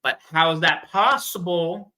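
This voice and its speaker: Bright, forceful man chatting casually. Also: a little high, unhurried, rough recording. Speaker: podcast guest. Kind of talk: casual fan-theory discussion.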